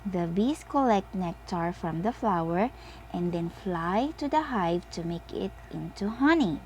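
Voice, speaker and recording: A woman reading a bedtime story aloud to children in an expressive manner. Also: fairly low pitch, a little slow, a little hiss or hum, rough recording. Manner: expressive, with exaggerated character voices. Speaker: storybook reader.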